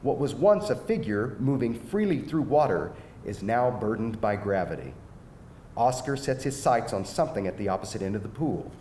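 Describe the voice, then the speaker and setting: A man's voice: sing-song, moderate pace, mellow. A man narrating a screenplay's stage directions as scripted narration at a live table read. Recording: echoey live room, faint rumbling noise.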